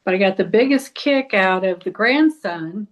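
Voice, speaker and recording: A woman's voice: medium pitch, expressive, moderate pace, lo-fi sound. A woman chatting casually in a group discussion as a film club member.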